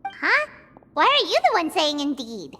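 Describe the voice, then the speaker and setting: Game role: tiny whiny fairy companion girl. Extremely high, squeaky, echoey. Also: measured pace, full character voice. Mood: indignant. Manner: squeaky, expressive. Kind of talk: scripted game voice acting. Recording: echoey reverb, clear music behind.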